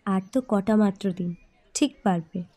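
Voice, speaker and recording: A woman's voice: mid-range voice, moderate pace, warm tone, close to an everyday voice. An actress playing a coy young woman in love in an audio story, teasing and playfully scolding.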